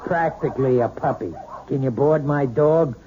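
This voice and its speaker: An actor playing an overbearing, curt husband in an old radio drama. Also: male voice, medium pitch, normal speed, close to an everyday voice. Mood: domineering. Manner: curt, domineering. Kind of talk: 1970s radio drama acting. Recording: dull old radio broadcast with faint hiss.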